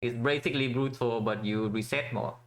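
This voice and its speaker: A man's voice: mid-range voice, fairly even intonation, fairly quick. A man from Vietnam giving casual commentary while playing a strategy game, thinking aloud with many fillers and restarts.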